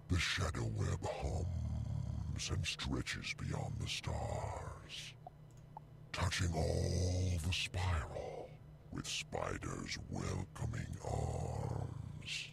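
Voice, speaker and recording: Menacing ancient game spirit, bottomless growling voice, extremely slow ominous verse, dramatic delivery. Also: male voice, extremely low pitch, noisy background, heavily acted. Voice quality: gravelly, breathy growl. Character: menacing ancient male spirit reciting a dark prophecy. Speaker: prophecy narrator. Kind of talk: theatrical recitation of verse in a video game.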